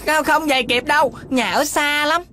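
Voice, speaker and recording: A woman's voice: sits high, normal speed, pushed and bright, slight background noise. A woman voicing a sensible, easily flustered little boy in a cartoon dub, with a southern Vietnamese accent. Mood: excited.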